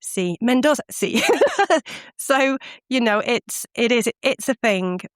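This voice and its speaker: A woman's voice: fairly high pitch, very animated, takes it slowly, no echo, clean studio sound. A middle-aged woman from the UK, a guest on a podcast, telling her stories animatedly and laughing often. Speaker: guest, language course founder. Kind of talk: podcast interview answers.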